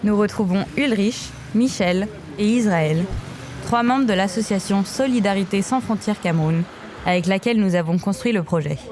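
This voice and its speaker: A woman giving calm, scripted documentary narration as a voice-over. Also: medium pitch, normal speed, a little hiss or hum.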